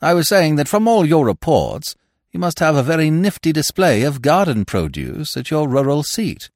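A man voicing a suave young gentleman in an audiobook, with a smooth, grave, mock-formal manner. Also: on the high side, very animated, moderate pace, no room sound, clean studio sound, pitched above the performer's own voice. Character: suave, unflappable young English gentleman with florid courtesy.

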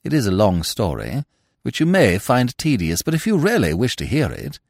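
Man playing a suave, unflappable English gentleman. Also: medium pitch, huge pitch swings, no echo, clean studio sound. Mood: calm, courteous. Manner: measured, elaborately formal, drily courteous. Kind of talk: audiobook character voice.

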